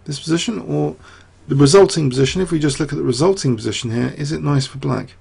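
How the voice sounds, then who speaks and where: Gravelly, husky man analyzing chess. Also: a little high, fast, darkish tone. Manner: rambling, thinking aloud with many fillers and rhetorical questions. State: losing his voice. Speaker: chess commentator.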